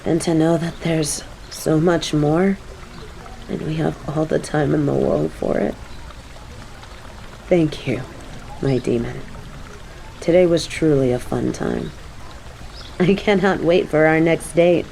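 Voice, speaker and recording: A woman's voice: low voice, takes it slowly, gravelly, pauses often, warm tone, heavy reverb, a little hiss or hum, exaggerated voice, rough recording. A woman voicing a haughty fallen angel in an audio drama, imperious and pompous with archaic formal diction, yet fond of her demon companion. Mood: fond, soft.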